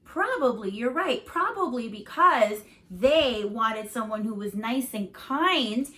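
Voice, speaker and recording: A woman reading a storybook aloud to children like a teacher, expressive and emphatic, pausing to put questions to listeners. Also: on the high side.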